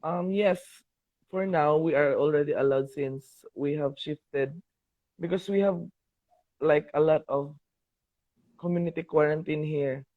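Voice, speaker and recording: A young Filipino man in his twenties, a college art teacher calling in as a fan, speaking in a shy, halting and polite way over a video call. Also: a little high, dry recording. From Philippines. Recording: tinny dry video-call audio.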